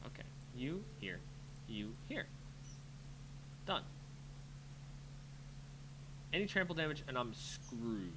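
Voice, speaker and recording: Male game streamer, lively swings in intonation, casual self-talk, noticeable humming background noise. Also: mid-range voice, measured pace. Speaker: streamer playing an online card game. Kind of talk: live gameplay commentary, thinking aloud. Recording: clear background noise with hum.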